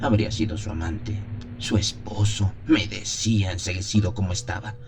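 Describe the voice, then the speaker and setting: A man's voice: on the low side, steady tone, moderate pace, creaky, a little hiss or hum. A man playing an anguished, lovesick young seminarian who solemnly narrates his torment in a radio play. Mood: wistful longing. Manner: solemn, brooding, theatrical.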